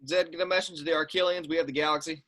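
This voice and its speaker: A man playing a weary, laconic veteran male secret agent, deadpan, in an amateur table read. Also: on the high side, rapid-fire. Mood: businesslike, dry. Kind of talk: casual at-home script table read.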